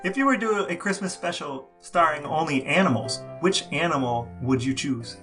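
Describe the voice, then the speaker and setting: A man's voice: on the high side, animated, moderate pace, a bit bright, faint music behind. A man co-hosting a podcast, putting questions in a casual interview style.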